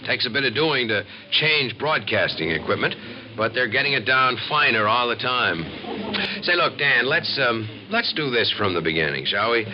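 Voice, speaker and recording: A man's voice: mid-range voice, lively intonation, moderate pace, a crowd in the background, lightly acted. An American man playing an earnest, assured civil defense expert who explains and narrates in a 1950s radio documentary. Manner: explanatory, folksy, emphatic. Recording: dull old radio recording with music.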